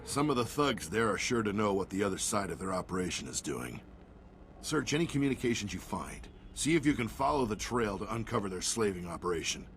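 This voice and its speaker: An actor playing an earnest, plainspoken frontier militia leader in a video game. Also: male voice, lowish voice, big pitch swings, a little fast, slight background noise.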